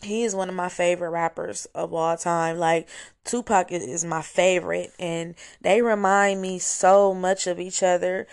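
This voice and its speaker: Hoarse young woman, solo podcast host, low and even-toned, chatty and enthusiastic. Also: normal speed. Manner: chatty, enthusiastic, with fillers and restarts. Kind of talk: casual solo review podcast. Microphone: home recording, voice message style.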